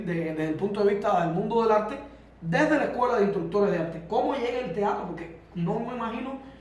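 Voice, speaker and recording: A Cuban radio host with a high-pitched voice for a man asks long, winding questions. He is recorded from a distance in a very echoey room. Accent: Cuban Spanish. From Cuba.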